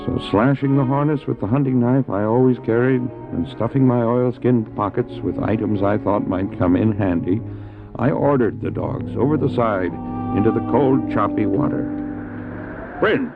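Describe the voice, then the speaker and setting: A man's voice: medium pitch, normal speed, creaky, close to an everyday voice. A man acting an anxious, determined dog-sled driver in a radio drama, telling his story earnestly and urgently. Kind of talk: first-person dramatic narration, radio drama. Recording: tinny narrow-band old cassette, music behind.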